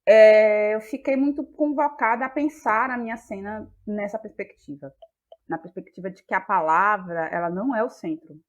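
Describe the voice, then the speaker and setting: A woman's voice: mid-range voice, moderate pace, lo-fi sound. A woman, an interview guest explaining in a searching, conversational way and repeating phrases for emphasis. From Bahia, Brazil. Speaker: interview guest, playwright and actress.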